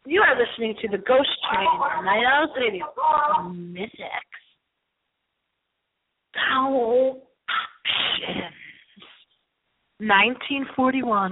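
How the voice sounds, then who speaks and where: A middle-aged woman hosts a late-night horror radio show in a fairly high voice. She speaks theatrically, repeating phrases and drifting into asides. The sound is thin and narrow, like a telephone connection. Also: slightly rough. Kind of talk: dramatic, rambling late-night horror movie show monologue.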